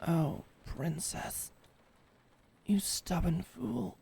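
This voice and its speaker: A woman's voice: on the low side, lively intonation, drawn-out words, creaky, warm tone, breathy edge, heavily acted. A woman playing a sardonic, defiant lady knight in a medieval fantasy audio drama, with theatrical, formal phrasing. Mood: strained, tender. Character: defiant, sardonic lady knight protecting her princess lover.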